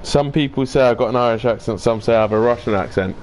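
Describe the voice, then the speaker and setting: A young man streaming a shooter game, bantering crudely and yelling when excited. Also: mid-range voice, fast talker, a little hiss or hum. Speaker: gaming streamer. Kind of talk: casual gameplay chat with swearing.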